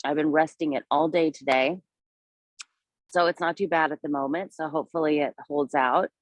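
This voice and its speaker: A woman with a slightly low voice, hoarse from a spring cold, leads a live walking tour through city streets and a small park. She talks in a chatty, meandering way, stopping to point things out. Her voice sounds dry and close with no room echo. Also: steady tone, moderate pace.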